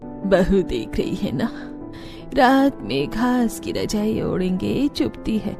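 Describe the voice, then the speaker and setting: A woman voicing a weary elderly village mother-in-law in a cartoon story, with drawn-out speech. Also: medium pitch, very animated, vocal fry, over music, heavily acted. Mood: complaining. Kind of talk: Hindi cartoon story voice acting.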